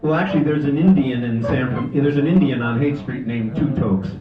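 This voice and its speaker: A man's voice: medium pitch, a little fast, crowd noise. A man emceeing an open mic in a small cafe, introducing acts with chatty jokes. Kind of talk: stage introductions. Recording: echoey, dull, lo-fi live stream from far off.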